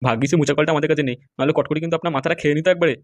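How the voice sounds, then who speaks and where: A woman's voice: low voice, fairly even intonation, racing, no room sound. A woman playing a dutiful underling in a comedy cartoon, reporting to the boss in a deferential way. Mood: deferential, matter-of-fact. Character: dutiful underling relaying worried news to a madam.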